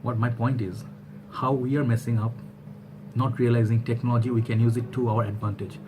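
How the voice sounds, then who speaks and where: A man's voice: mid-range voice, fairly quick, noisy background. A man in his thirties from Bangladesh, speaking in a casual, rambling opinion monologue to a webcam in a small room at home, full of fillers and restarts. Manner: animated, gesturing hands, rambling with fillers and restarts. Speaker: vlogger.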